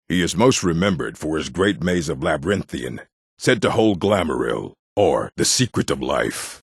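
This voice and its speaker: An actor playing a gruff but bookish male orc librarian in a fantasy video game, speaking in a measured, learned way with a husky voice. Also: no echo, clean studio sound, exaggerated voice. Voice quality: deep, gravelly husky voice. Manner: measured, deliberate, learned diction.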